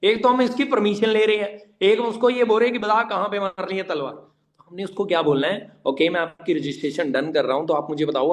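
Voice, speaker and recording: A man giving informal online training, emphatic and repetitive, addressing listeners directly and mixing in English words. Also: high voice. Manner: mixes English words and phrases into speech. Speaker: online network-marketing trainer. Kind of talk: informal instructional talk to an online meeting.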